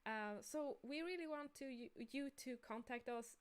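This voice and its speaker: Higher-voiced woman. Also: moderate pace, smooth. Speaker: game creator and artist hosting a livestream. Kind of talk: casual livestream chat.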